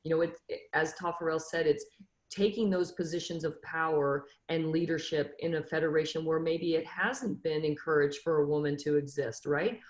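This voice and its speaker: A woman's voice: deep voice, steady tone, normal speed, roomy sound. A woman in her fifties from Northern California, a football coach speaking on a video call panel in an earnest, motivational way, often restarting her sentences. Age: 50s. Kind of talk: casual panel discussion.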